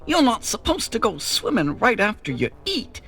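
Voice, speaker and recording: A man's voice: high-pitched, wildly swooping pitch, moderate pace, bright, forceful tone, soft background music, voice pushed up, heavily acted. Stubborn scolding panther girl, bouncy lilting voice, man voicing. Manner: firm, scolding. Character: prim, stubborn young female panther.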